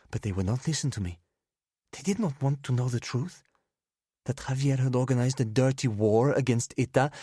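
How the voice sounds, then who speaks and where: A man voicing a drunk, bitter woman, hurling accusations at her lover in rushed, accusing speech. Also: medium pitch, mellow, breathy edge, no echo, studio quality, voice pushed up. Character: drunk, furious Spanish woman, betrayed lover.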